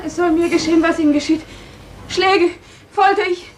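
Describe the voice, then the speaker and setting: Very high-voiced anguished young woman. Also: fairly even intonation, moderate pace, far from the mic, slight background noise. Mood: desperate. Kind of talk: 1950s German radio play acting.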